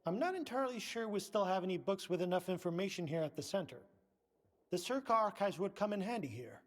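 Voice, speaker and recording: High-voiced, pompous male scientist. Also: expressive, fast talker. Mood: measured, helpful. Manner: formal, precise, condescending. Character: pompous middle-aged male scientist, director of research center. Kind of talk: video game dialogue.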